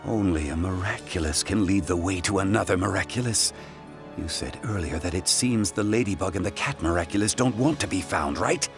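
A man's voice: deep voice, a little fast. An actor playing a grave, brooding middle-aged wealthy man in a video game cutscene, speaking formally and theatrically. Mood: dark resolve. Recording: background music.

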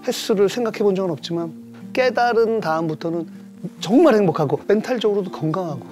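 A man's voice: high-pitched, fast talker, faint music behind. A man in his fifties, a guest in a casual chat over drinks, telling stories with big gestures in a small indoor bar set. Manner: animated storyteller, gestures broadly. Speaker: guest entertainer.

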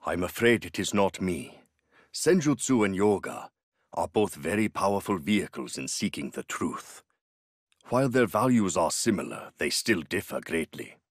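A man playing a serene, wise old yoga master in a video game, speaking in a measured, solemn way. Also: low voice, big pitch swings, slightly rough, no echo, studio quality, exaggerated voice. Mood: calm, solemn.

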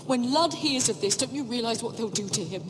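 A woman's voice: fairly high pitch, expressive, fairly quick, big-room sound, background noise, close to an everyday voice. An actress playing an earnest noblewoman in a video game, imploring and urgent, protective of her own. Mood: pleading. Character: earnest, pleading noblewoman protecting a loved one. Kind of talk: dramatic video game acting.

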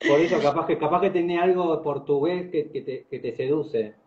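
A man's voice: a little high, normal speed, a little mellow. A man in his forties hosting a casual interview over a roomy, lo-fi video call. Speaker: interviewer, host. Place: indoor room, on a video call.

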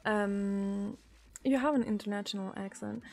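A woman in her thirties from Sweden with a Swedish accent, chatting casually and giggling as she reads viewer messages on a live stream from a small room, into a desk mic on a boom arm. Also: fairly even intonation, gravelly, a little mellow, soft background music. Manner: giggly, chatty, drawn-out pauses.

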